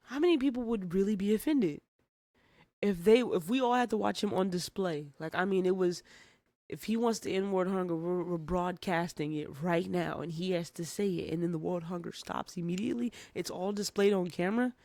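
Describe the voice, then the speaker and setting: A man's voice: sits high, fairly quick, darkish tone, no room sound, clean studio sound. A man giving casual reaction commentary as a host, informal and full of rhetorical questions.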